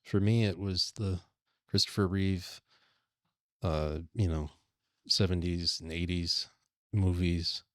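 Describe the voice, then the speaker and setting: A man's voice: low-pitched, steady tone, takes it slowly, long pauses, fairly bright tone, no echo, clean studio sound. A man chatting casually on a podcast panel in a wry, joking manner. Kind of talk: casual chat.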